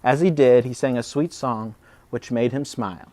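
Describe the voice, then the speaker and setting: A man reading a children's story aloud in an expressive, dramatic way. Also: mid-range voice, moderate pace. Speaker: preschool storybook reader. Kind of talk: animated children's story narration.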